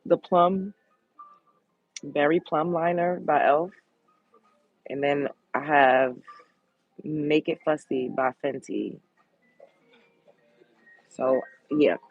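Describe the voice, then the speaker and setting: Woman host, deep and sultry, gravelly husky tone, candid and blunt. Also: very slow, long pauses, dry recording, phone-quality sound, soft background music. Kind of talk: casual livestream chat reading comments.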